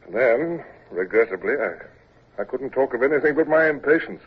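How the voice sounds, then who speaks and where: A man playing an impatient, brisk naval commodore who also narrates the story, in 1940s radio drama style. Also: mid-range voice, expressive, breathy edge. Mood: reflective. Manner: brisk, impatient, commanding, given to stammered restarts. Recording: dull old radio transfer with faint hiss.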